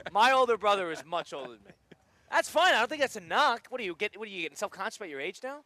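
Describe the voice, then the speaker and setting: High-voiced young sports radio host. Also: male voice. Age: 20s. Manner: animated, quick to laugh, rambling explanations. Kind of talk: casual sports talk. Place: radio studio.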